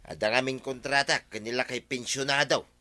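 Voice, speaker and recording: A man's voice: mid-range voice, fairly even intonation, a little fast, bright, forceful tone, close to an everyday voice. A man with an Ilocano accent playing a hardworking village farm labourer in a radio drama. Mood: busy, plain.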